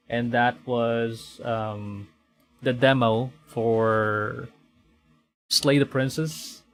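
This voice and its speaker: A man from the Philippines hosting a livestream in casual chat, speaking hesitantly and often repeating and rephrasing his phrases. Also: medium pitch. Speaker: VTuber livestream host. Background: music.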